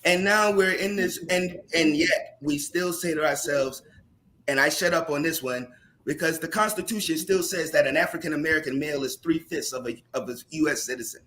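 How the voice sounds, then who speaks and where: A man who is a teacher speaks in a panel discussion with a loud voice, passionate and emphatic. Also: fairly high pitch, fairly quick, rough recording. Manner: impassioned, rising to emphatic questions.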